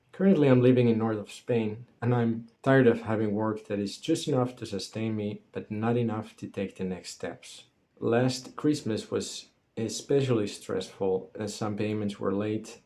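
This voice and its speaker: A man's voice: lowish voice, dark tone. A man, earnestly narrating a scripted motivational story as a solopreneur creator. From Finland.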